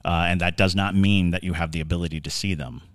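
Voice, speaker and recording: A man co-hosting a podcast, telling stories and explaining in a casual but halting, deliberate way with drawn-out words. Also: deep voice, steady tone, no room sound, clean studio sound. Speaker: podcast co-host. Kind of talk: casual discussion and storytelling.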